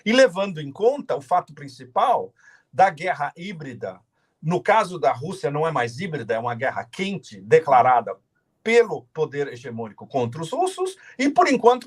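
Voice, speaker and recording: A man with a husky, fairly high voice that swings widely in pitch, talking over a video call. He speaks with passion, stresses his points hard and often stumbles and restarts mid-phrase. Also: very animated. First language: not Portuguese; says he no longer speaks it. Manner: passionate, halting restarts, emphatic. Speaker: guest commentator.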